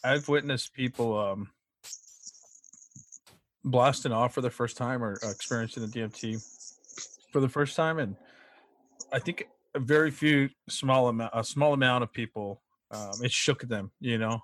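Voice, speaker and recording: A young man in casual chat, speaking haltingly with many restarts and frequent throat clearing. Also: mid-range voice, a bit bright, no room sound.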